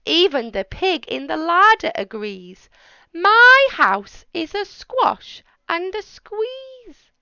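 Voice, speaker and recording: A woman's voice: extremely high pitch, big pitch swings, slow, bright, forceful tone, dry recording, muffled recording, voice pushed up, exaggerated voice. A woman voicing a fretful little old lady from a storybook, exclaiming and pleading theatrically. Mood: distressed, exclaiming.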